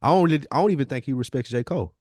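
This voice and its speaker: A man's voice: mid-range voice, rapid-fire, darkish tone, no echo. American guy on a hip hop podcast, emphatic and slangy, strong opinions, really dry sound. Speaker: podcast guest. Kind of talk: casual hip-hop discussion.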